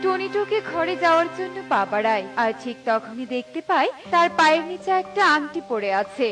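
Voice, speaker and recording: A woman's voice: very high voice, lively intonation, a little fast. A woman narrator reading a scripted fairy tale for a cartoon. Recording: slightly distant with music bed.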